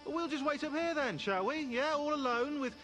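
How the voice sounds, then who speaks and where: A man's voice: very high voice, moderate pace, a bit bright, soft background music. An actor voicing a fussy, sarcastic, anxious young man in a video game cutscene. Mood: sarcastic worry.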